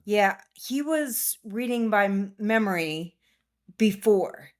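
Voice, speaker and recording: A woman's voice: medium pitch, lively intonation, takes it slowly. A woman who is a private tutor, answering interview questions in a plain, explanatory way. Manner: plain, explanatory, matter-of-fact.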